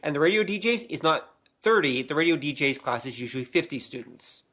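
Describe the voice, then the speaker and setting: A man's voice: a little high, expressive, a little fast, fairly bright tone. A middle-aged man talking casually as a co-host in a podcast discussion. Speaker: podcast co-host, college teacher.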